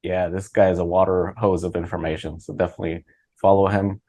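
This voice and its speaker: A man's voice: low-pitched, level tone, brisk, mellow, dry recording. A man hosting a podcast interview, casual and informal, encouraging his guest. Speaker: podcast host, author.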